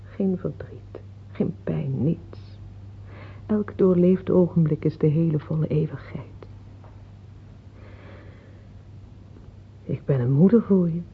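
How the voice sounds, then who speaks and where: A woman's voice: low-pitched, a little slow, vocal fry, a little hiss or hum. An actress in a Dutch radio play, playing an earthy, plain, motherly middle-aged mistress who speaks frankly, tenderly and teasingly, without hurry. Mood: tender, soothing. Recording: muffled tinny old radio play recording.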